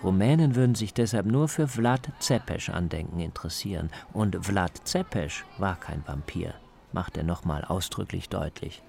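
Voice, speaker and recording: A man's voice: deep voice, moderate pace, creaky, darkish tone. A man reading scripted narration as the reporter of a radio documentary.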